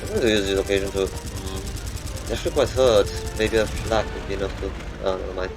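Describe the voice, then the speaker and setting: A man thinking aloud in a halting, muttering way while playing a video game. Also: low voice, low-quality recording. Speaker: gamer. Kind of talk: gameplay commentary. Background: game music.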